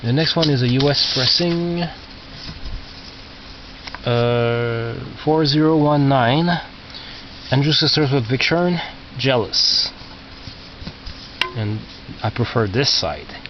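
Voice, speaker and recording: A man with a medium-pitched voice and English as a second language presents a collection in a casual, halting way. He mutters asides and reads labels aloud. The sound is dull, from a cheap camcorder, with clear background noise. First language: not English. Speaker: record collector. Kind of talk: casual narrated show-and-tell of collection. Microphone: cheap video camera microphone. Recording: muffled cheap video camera, loud noise.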